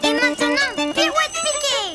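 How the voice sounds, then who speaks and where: A performer voicing a small, excitable young ladybird in a children's cartoon, perky and childlike. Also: very high-pitched, normal speed. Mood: excited. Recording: loud music behind the voice.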